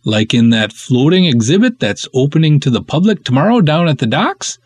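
A man's voice: on the high side, big pitch swings, fairly quick, darkish tone, pitched above the performer's own voice. Man putting on a pompous, skeptical police sergeant. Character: stuffy, skeptical male sergeant, old friend of the hero.

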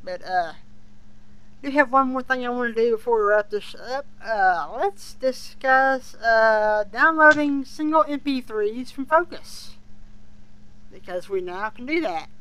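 Podcast host, bright forward voice, casual review chat, halting delivery. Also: mid-range voice, expressive, clear, resonant tone, low-quality recording.

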